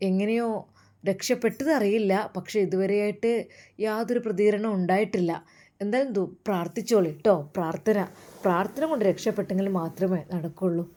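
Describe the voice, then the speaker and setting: A woman's voice: mid-range voice, a little fast, dark tone, natural voice. A woman storyteller voicing a grave hospital doctor who reports in a hesitant, matter-of-fact way.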